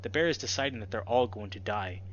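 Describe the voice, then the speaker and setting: A man's voice: on the low side, quick pace, a bit bright. A young man hosting a let's play and reading a visual novel aloud as dramatic narration. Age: young adult. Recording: dull lo-fi audio with background noise.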